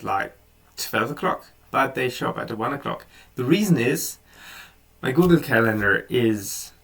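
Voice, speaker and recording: A man whose first language is German, talking casually through a software tutorial, relaxed, with frequent ums and restarts. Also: mid-range voice, creaky, distant mic. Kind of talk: casual screen-recorded tutorial.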